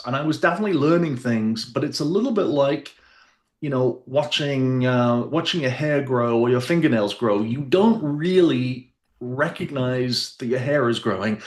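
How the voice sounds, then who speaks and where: A man's voice: medium pitch, echoey room. A man who is a guest on a radio interview, telling his personal story in an earnest, rambling way. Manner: earnest, rambling, with fillers and restarts.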